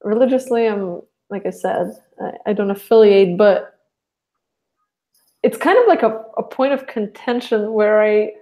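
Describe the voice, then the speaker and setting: Woman from russia, young adult, casual podcast guest, rambling talk, restarts, mid pitch. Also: measured pace, mellow. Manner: rambling, with many restarts and fillers.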